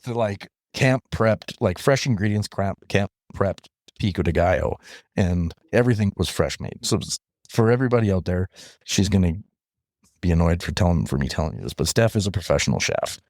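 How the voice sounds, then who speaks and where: A man from interior British Columbia guesting on a podcast, telling animated stories and acting out what people said. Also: deep voice, brisk, no room sound, clean studio sound. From interior British Columbia, Canada. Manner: animated storyteller, acts out quoted talk.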